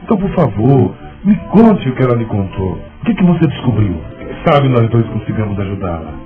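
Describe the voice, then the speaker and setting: A man's voice: mid-range voice, sing-song, a little fast, close to an everyday voice. An actor playing an earnest, lovestruck man in a radio soap opera, with a dramatic delivery. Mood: pleading. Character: Rômulo, lovestruck earnest man, insistent and concerned. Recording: muffled, narrow phone-like radio drama sound. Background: music.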